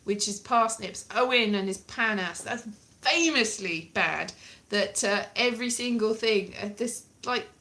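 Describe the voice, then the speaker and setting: A woman, perhaps in her late thirties, chatting casually to camera in an animated, rambling, dryly jokey way. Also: medium pitch, unhurried. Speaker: vlogger, language learner. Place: small plain room indoors.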